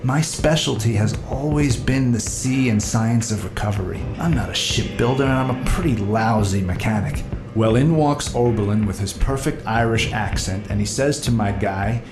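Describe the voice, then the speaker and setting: Man playing a low-voiced, wistful treasure hunter, roomy sound. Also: normal speed, hardly any pauses, over music, natural voice. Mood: nostalgic, enthusiastic. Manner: casual, reflective storytelling. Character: rugged, wistful male treasure hunter and boat captain. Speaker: actor in an audio drama. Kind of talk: naturalistic full-cast audio drama acting.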